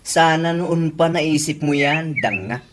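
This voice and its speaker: A woman's voice: low-pitched, takes it slowly, a little hiss or hum. A woman with an Ilocano accent playing a sardonic, chiding woman in a comedy radio drama. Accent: Ilocano, from the Philippines.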